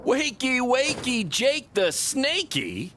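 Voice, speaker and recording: An actor playing an excitable, boastful male indie wrestling promoter with a salesman's patter in a video game cutscene. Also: very high-pitched, slow pace, a little hiss or hum, exaggerated voice. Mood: teasing, excited.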